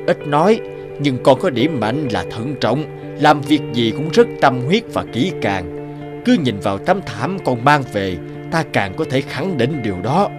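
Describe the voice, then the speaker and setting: A man voicing an old, stern but fair fairy-tale king, measured and authoritative. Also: mid-range voice, natural voice. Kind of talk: cartoon fairy-tale dubbing.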